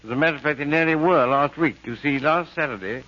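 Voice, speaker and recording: An actor playing a mild, flustered middle-aged husband in a 1940s radio comedy, hesitant and dryly droll. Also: male voice, on the high side, fast, a little hiss or hum, natural voice. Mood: wry. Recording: dull old radio recording.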